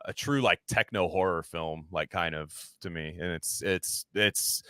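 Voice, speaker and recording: A man co-hosting a podcast, talking casually about film in a halting, rambling way and often slipping into imagined dialogue. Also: deep voice, bright, forceful tone, no room sound, studio quality. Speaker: podcast co-host.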